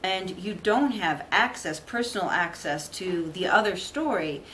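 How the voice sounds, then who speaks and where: A woman's voice: on the low side, animated, measured pace, a bit bright, a little hiss or hum. A middle-aged woman giving a documentary interview, articulate and thoughtful, explaining her points.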